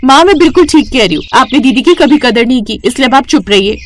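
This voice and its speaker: A woman's voice: high voice, animated, fast talker, slight background noise, voice pushed up. A woman voicing an indignant young daughter in a cartoon story, firm and scolding. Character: blunt, indignant young daughter standing up for sister.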